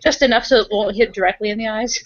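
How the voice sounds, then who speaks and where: High-voiced woman in casual tabletop roleplay. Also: fast talker, highs cut off. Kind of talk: casual roleplay, in character and narrating.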